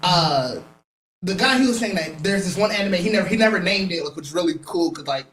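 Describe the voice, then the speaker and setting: A man's voice: high voice, animated, brisk, crowd noise. A man hosting a podcast, casually recounting a convention panel, rambling and quoting other people as he goes. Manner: rambling storyteller who quotes others, many fillers. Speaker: podcast host. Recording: echoey room with faint noise.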